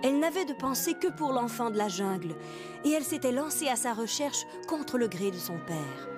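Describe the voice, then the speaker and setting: A woman's voice: fairly high pitch, sing-song, moderate pace. A woman reading scripted storyteller narration for a cartoon, with music underneath.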